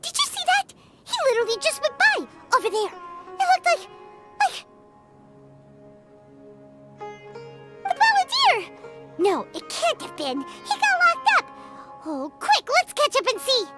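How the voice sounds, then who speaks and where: A woman voicing a tiny, excitable, blunt fairy companion in a video game, with a squeaky childlike voice. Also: normal speed, full character voice. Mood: alarmed. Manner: speaks of self in third person, exclamatory. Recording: loud game music behind the voice.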